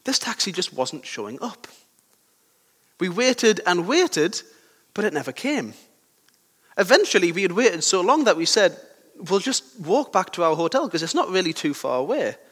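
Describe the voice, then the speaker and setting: A young man with a sore throat and a slightly croaky voice, giving a conversational sermon with personal stories and questions to his listeners. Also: high-pitched, fairly bright tone. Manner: conversational, self-deprecating, asks the listeners questions. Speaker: preacher. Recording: long hall reverb.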